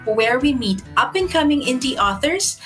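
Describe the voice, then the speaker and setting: A woman hosting a show, reading a measured, scripted welcome at the opening. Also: high voice, sing-song, fairly bright tone. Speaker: host. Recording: faint guitar music underneath.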